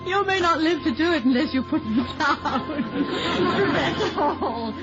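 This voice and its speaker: Coy affectionate leading lady, really high voice, old time radio, muffled sound. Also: lively intonation, unhurried, loud music behind the voice, a loud crowd. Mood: flirtatious. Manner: coy, lightly teasing. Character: playful, teasing, affectionate actress, the professor's sweetheart and wife. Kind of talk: 1950s radio comedy-drama acting.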